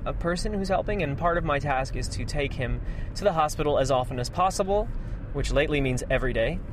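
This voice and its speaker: A man with an American accent hosting a casual solo podcast, thinking aloud in a halting, repetitive, stream-of-consciousness way while driving. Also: on the high side, expressive. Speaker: podcast host. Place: inside an old car being driven, windows closed. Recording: road and engine noise inside moving car. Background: road noise, engine, passing vehicles.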